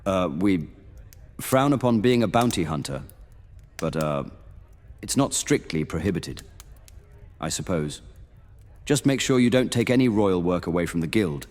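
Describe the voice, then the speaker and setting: A man's voice: low voice, expressive, a little slow, long pauses, darkish tone. A man playing a stern veteran monster hunter knight in a fantasy audio roleplay, speaking formally and solemnly. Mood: admonishing. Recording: long hall reverb under music.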